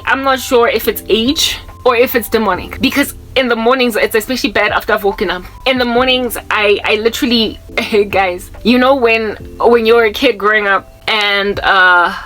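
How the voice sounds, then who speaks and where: A woman's voice: a little high, a bit bright, poor audio. South African woman in her thirties preaching. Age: 30s. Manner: rambling, repeats herself, mixes in Zulu words, groans to mimic old people. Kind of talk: casual spiritual talk to camera.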